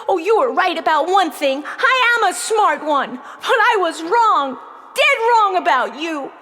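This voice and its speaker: A woman's voice: extremely high pitch, expressive, unhurried, sharp tone, exaggerated voice. A woman playing an emotional, brainy nine-year-old girl in a children's audio play, melodramatic and pleading. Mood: enraged, betrayed. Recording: long reverb on the voice.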